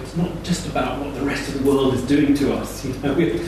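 A man reflecting haltingly as a panellist in a live discussion, repeating words as he thinks. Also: medium pitch. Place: live festival panel in echoey room. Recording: very echoey, distant mic, background noise.

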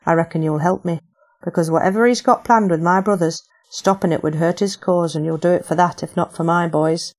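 A woman's voice: on the low side, fairly even intonation, a little fast, a little mellow. A woman voicing a desperate young working woman searching for her brothers in an audiobook. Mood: pleading. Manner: pleading, urgent.

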